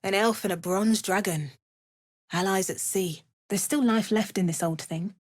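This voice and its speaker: A woman playing an adventurous, wry and watchful spellcaster in a video game, with crisp, measured delivery. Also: medium pitch, darkish tone, natural voice. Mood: watchful, musing. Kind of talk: scripted video game character lines.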